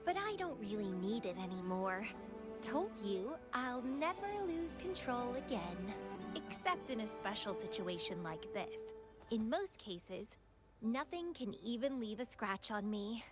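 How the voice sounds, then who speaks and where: A woman's voice: a little high, big pitch swings, measured pace, loud music behind the voice. An actress voicing a playful, dangerously devoted young woman rival in a video game, with a teasing, languid, dramatic delivery. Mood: breezy, confident. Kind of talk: scripted video game voice acting.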